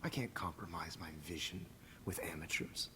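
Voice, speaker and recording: An actor playing a smug, menacing teacher and photographer villain in a video game, with a calm, condescending, gloating delivery. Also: male voice, deep voice, normal speed, slight background noise. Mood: cold, condescending. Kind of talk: dramatic video game acting.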